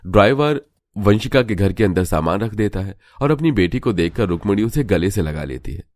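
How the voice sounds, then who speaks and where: A man's voice: on the low side, lively intonation, normal speed, a little mellow, no room sound, studio quality. A man reading scripted storybook narration for a cartoon.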